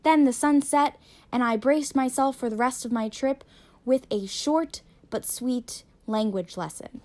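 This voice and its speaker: A woman's voice: high-pitched, unhurried, darkish tone. A young woman narrating her travel vlog in a wry, self-deprecating voice-over. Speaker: travel vlogger.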